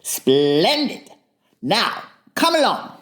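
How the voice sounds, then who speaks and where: A self-important storybook rabbit, acted out by a man, pitched well up and very slow. He issues orders and numbered points with assured authority. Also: huge pitch swings, a bit bright, exaggerated voice. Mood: bossy, assured. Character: bossy, self-assured male rabbit who makes plans. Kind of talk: dramatized storybook audiobook.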